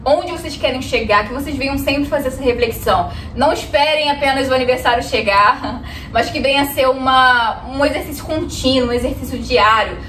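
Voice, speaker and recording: A woman in her twenties, a vlogger talking earnestly to the camera with soft laughs between thoughts. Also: high-pitched, fairly quick, slight background noise. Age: 20s. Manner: earnest, gestures constantly, often laughs softly. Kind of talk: reflective talk to camera. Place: room in front of a bookshelf, indoors. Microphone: camera a few steps away. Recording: echoey room, mic at a distance.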